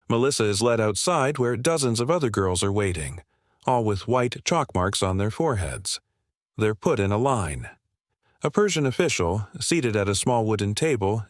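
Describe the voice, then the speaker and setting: A man narrating a scripted history documentary in a grave, measured way, delivering short dramatic phrases. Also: on the low side, no echo, clean studio sound.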